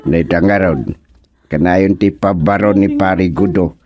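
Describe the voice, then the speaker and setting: A man's voice: low-pitched, fairly even intonation, measured pace, crowd noise. A man playing a nosy, gossipy villager in a radio comedy drama.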